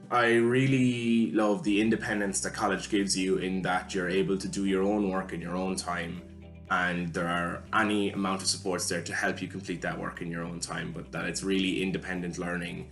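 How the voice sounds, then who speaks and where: A young man from Longford, a computer science and business student, giving a clear, even account to school students. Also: sits low, moderate pace, soft background music. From Longford, Ireland. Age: young adult.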